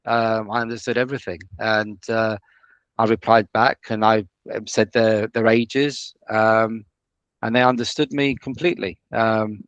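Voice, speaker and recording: A man's voice: fairly low pitch, no room sound, dull audio. Hesitant man with many restarts. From United Kingdom. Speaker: student guest. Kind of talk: casual interview.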